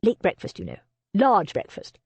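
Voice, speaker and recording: A woman voicing a wary, gloomy young gentleman in a lower, guarded tone. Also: wildly swooping pitch, normal speed, breathy edge, dry recording, exaggerated voice.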